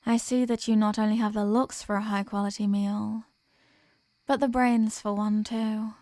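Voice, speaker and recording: A woman's voice: medium pitch, little pitch movement, moderate pace, a little mellow, no room sound, lightly acted. A woman playing a possessive, dominant vampire in an ASMR roleplay, speaking in a teasing, condescending manner with formal phrasing. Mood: persuasive, smug. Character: possessive, dominant female vampire toying with captive prey. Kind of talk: ASMR roleplay, scripted intimate monologue.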